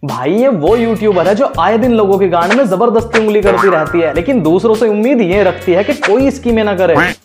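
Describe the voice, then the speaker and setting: A man's voice: high voice, expressive, a little fast, hardly any pauses. Mocking young man roasting to camera. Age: young adult. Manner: mocking, sarcastic. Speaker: YouTuber host. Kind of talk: scripted comedic roast commentary to camera. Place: small room indoors. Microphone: lapel mic. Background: music.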